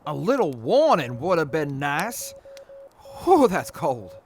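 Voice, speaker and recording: An actor playing a proud, earnest mercenary major in a post-apocalyptic audio drama, reflective and a little hesitant. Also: male voice, fairly high pitch, sing-song, slow pace, soft background music, full character voice. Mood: pained, grumbling.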